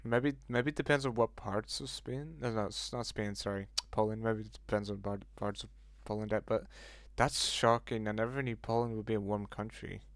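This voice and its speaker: A man's voice: fairly low pitch, fairly even intonation, moderate pace, hardly any pauses, no echo. A man casually chatting with viewers on a livestream, reacting aloud to messages and swearing now and then.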